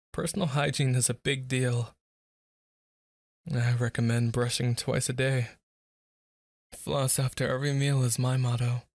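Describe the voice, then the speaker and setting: Guy doing a dramatic boyfriend asmr roleplay, talks slow, close mic. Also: mid-range voice, darkish tone, dry recording. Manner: speaks to an unseen listener, moody and dramatic.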